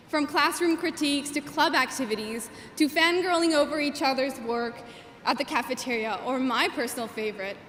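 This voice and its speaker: A young woman in her twenties whose first language is Gujarati, giving an earnest, measured commencement speech as class valedictorian at a podium microphone. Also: high-pitched, a bit bright, slight background noise. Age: 20s. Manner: earnest, measured, with emphatic phrasing. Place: large commencement hall. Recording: echoey hall with long reverb.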